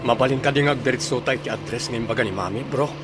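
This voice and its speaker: A man's voice: mid-range voice, rapid-fire, music in the background, natural voice. A man playing a concerned adult son returning home to his mother in a radio drama. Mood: hurt, concerned. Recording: vehicle noise behind voice.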